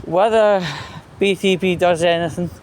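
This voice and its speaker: A Scottish man giving a blunt, sweary, aggrieved monologue in halting phrases, like a casual vlogger's rant. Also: sits high, fairly even intonation, darkish tone. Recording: clear traffic and outdoor noise.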